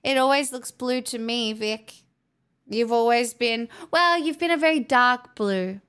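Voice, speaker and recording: A woman's voice: fairly high pitch, a little slow, a bit bright, smooth. A woman hosting a livestream, chatting casually with viewers in a playful, teasing way. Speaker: livestream host.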